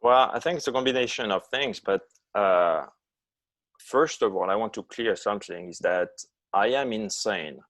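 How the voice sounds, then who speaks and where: Low-voiced man with a foreign accent. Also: fairly bright tone, no echo. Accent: foreign accent in English. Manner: halting, non-native phrasing with many uh pauses. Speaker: guest, long-distance ocean swimmer. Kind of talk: relaxed interview answers.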